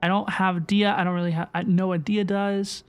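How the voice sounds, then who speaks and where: A woman streams a video game in a mid-pitched voice. She is chatty and effusive, casually answering viewer messages. Faint game music plays underneath. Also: flat delivery, normal speed, clean tone. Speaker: game livestreamer. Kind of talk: casual livestream chat and game reaction.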